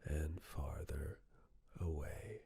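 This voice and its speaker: A whispered ASMR voice of unclear sex, very low and extremely unhurried. It is breathy and husky with a gravelly edge, guiding the listener gently through soothing personal attention. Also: steady tone. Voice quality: breathy, mostly unvoiced whisper. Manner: slow, gentle, soothing step-by-step instructions. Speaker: ASMR performer playing a caregiver giving personal attention.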